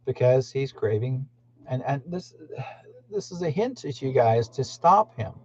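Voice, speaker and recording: A man's voice: mid-range voice, animated, unhurried, a little mellow, husky. A man who hosts a channel, rambling indignantly in a monologue and speaking straight to his listeners. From Canada. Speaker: channel host. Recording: muffled lo-fi recording.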